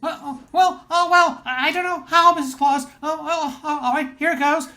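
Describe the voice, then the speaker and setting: A woman's voice: high voice, fairly even intonation, normal speed, sharp tone. Flustered reindeer woman performer: piercing tone, shy, hesitant, Christmas radio play. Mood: flustered, bashful. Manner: nervous, hesitant, dithering. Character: flustered, bashful, dithering reindeer Dasher.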